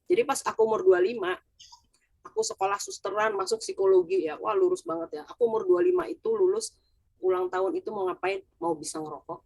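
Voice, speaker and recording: A woman in her forties sharing personal stories in a casual group chat over a video call, lively, chatty and quick to laugh. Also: medium pitch, creaky, husky, no echo. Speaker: guest sharing her story.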